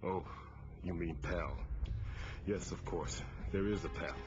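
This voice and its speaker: A man playing a wary, weary middle-aged government agency chief held captive, speaking gravely and deliberately in a video game cutscene. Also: deep voice, background noise. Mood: grave, confiding. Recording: dull game audio under music. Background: loud music.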